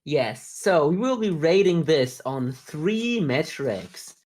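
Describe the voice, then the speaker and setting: Informal, sweary podcast co-host of ambiguous pitch. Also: sing-song, slow pace, darkish tone. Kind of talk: casual review discussion.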